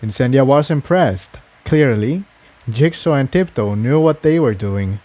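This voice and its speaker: A man's voice: mid-range voice, lively intonation, a little slow, dark tone, no echo. A man reading a scripted adventure story aloud as narrator. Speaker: fan fiction narrator.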